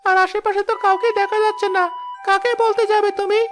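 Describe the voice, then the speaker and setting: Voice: very high-pitched, level tone, fairly quick, over music. A performer voicing a hungry, whining little boy who pleads insistently in a cartoon story. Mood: coaxing.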